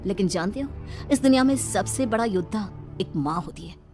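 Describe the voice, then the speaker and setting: A woman's voice: mid-range voice, expressive, moderate pace, mellow, close to an everyday voice. Storybook daughter-in-law, performed by a woman: bold, dramatic, action-hero swagger, over a music bed. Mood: grand, menacing. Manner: cocky, dramatic dialogue delivery.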